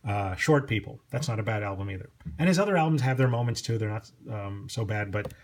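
A man's voice: fairly low pitch, animated, brisk, slight background noise. A man giving casual, off-the-cuff commentary as a knowledgeable, chatty record collector who tends to digress. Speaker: record collector presenting a music vlog.

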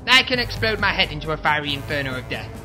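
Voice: mid-range voice, sing-song, fast, music in the background, lightly acted. An actor voicing a hapless, theatrically boastful wannabe supervillain in a comedy audio drama, grandiose one moment and meekly awkward the next. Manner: grandiose threats collapsing into meek mumbling.

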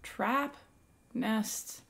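A young woman with a raspy voice, excitedly commenting while playing a video game. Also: fairly high pitch, extremely slow. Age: young adult. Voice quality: raspy from yelling. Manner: excitable, exclaiming at finds. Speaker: gaming YouTuber. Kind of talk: let's play commentary.

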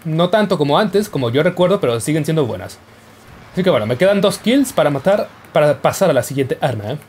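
A man's voice: on the high side, big pitch swings, fairly quick, a little mellow, slightly rough. A young man giving casual, joking commentary over his gameplay. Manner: playful, jokey, yelps and laughs while playing. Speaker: gaming YouTuber.

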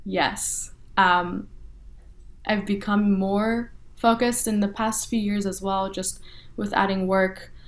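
A woman's voice: medium pitch, slow. A young woman from North Texas talks easily in an interview, voice set in the middle of the range. She answers at length, leaning on "you know" and "like" as she thinks aloud. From North Texas, USA. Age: young adult. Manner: relaxed, frequent fillers like "you know" and "like". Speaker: interview guest, violinist. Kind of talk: casual interview talk.

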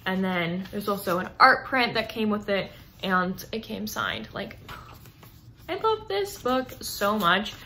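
A woman's voice: mid-range voice, expressive, slow pace, bright, forceful tone, a little hiss or hum. A woman in her twenties chatting casually to camera as a book vlogger, gushing and emphatic in an informal way. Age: 20s. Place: small indoor room lined with bookshelves.

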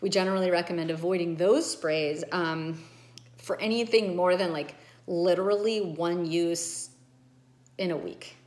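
A woman's voice: lowish voice, a little slow, darkish tone. A middle-aged woman doctor explaining nose and sinus care to a phone camera at home, earnest and emphatic. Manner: earnest, emphatic, gestures with her hands. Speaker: ENT doctor. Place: home room with bookshelves, indoors. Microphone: phone camera at arm's length.

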